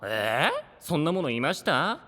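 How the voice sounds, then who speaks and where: A courteous anime trickster, high and bright with swooping, sing-song teasing. A long hall reverb trails the voice. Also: male voice, huge pitch swings, normal speed, heavily acted. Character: playful, polite, mischievous male trickster host. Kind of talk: Japanese anime-style voice drama acting.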